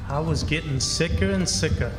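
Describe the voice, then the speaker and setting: A man's voice: on the high side, moderate pace, close to an everyday voice. A man playing a conscience-torn country boy who narrates his own story in a hesitant, folksy way for a radio play. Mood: troubled, torn. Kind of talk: first-person radio drama narration. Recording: echoey room, music behind.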